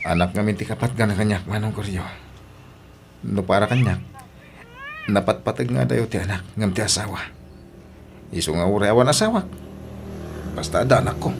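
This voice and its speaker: A man's voice: on the low side, brisk, creaky, long pauses. A man with a Filipino accent playing a devoted, hardworking widowed father in an audio drama. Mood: firm. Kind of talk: Ilocano audio drama acting.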